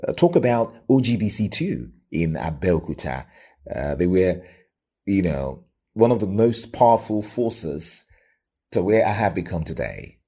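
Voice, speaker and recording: A middle-aged Nigerian man with a Nigerian accent, telling his own story reflectively in an interview with a radio presenter's deliberate, emphatic delivery. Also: deep voice, big pitch swings, dark tone, husky. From Lagos, Nigeria.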